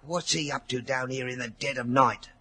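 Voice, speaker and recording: An actor playing a timid, superstitious stable hand with nervous rustic speech, in a noisy 1940s radio drama. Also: male voice, medium pitch, fairly even intonation, normal speed, bright, forceful tone, breathy edge. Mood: hushed, tense.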